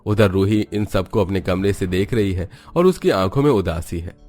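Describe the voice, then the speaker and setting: A man narrates a scripted cartoon story in a low-pitched voice. He works as a storyteller, with music playing behind him. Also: normal speed, a little mellow.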